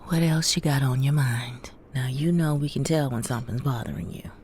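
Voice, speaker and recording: A woman's voice: a bass voice, moderate pace, a little mellow, a little hiss or hum. A woman acting an observant, plainspoken middle-aged mother in an audio drama. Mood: probing, guarded.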